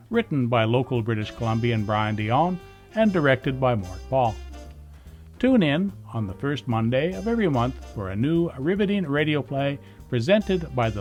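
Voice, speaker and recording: Male announcer, mid-pitched with varied intonation, scripted theatre broadcast introduction over guitar. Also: a little mellow. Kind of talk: scripted radio play introduction. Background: guitar music.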